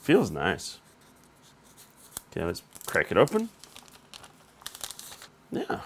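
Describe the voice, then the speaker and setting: A man with a slightly hoarse voice hosting a casual unboxing vlog, thinking aloud in an informal, conversational way. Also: low-pitched, huge pitch swings, slow pace, slight background noise. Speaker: tech reviewer and channel host.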